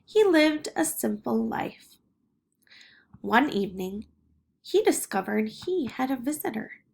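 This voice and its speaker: Gravelly-edged woman reading a storybook. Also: a little high, very animated, takes it slowly. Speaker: storybook reader. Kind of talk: expressive picture book read-aloud.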